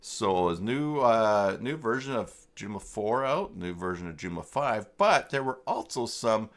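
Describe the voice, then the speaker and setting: Low-voiced man hosting a casual tech stream. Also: very animated, fairly bright tone. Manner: halting, informal, thinking aloud. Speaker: livestream host demonstrating website tools. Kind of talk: casual live software tutorial, chatting with viewers.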